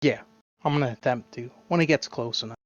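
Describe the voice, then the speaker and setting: Sly deadpan player on a dull mic. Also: mid-range voice, quick pace, no echo. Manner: sly, deadpan dark humor. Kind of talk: in-character tabletop roleplay.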